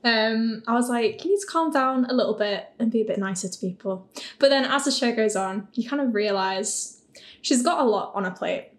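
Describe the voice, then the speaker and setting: Higher-voiced, giggly woman chatting casually. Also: moderate pace. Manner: giggly, informal. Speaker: voice actor and composer guest. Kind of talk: casual chat. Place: living room.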